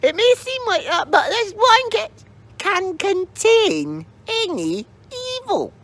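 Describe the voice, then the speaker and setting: Super high wobbly granny, moaning and whimpering all over the place. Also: female voice, sing-song, slow pace, a bit bright, exaggerated voice. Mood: fretful. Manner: wailing, whimpering sighs and moans.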